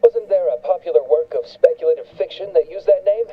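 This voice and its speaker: A man's voice: on the high side, a little fast, phone-quality sound, close to an everyday voice. An actor playing a haughty, condescending male gatekeeper who talks down to visitors in a game. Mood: sneering. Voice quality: prim, sarcastic, talks down. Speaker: gatekeeper on a comm line.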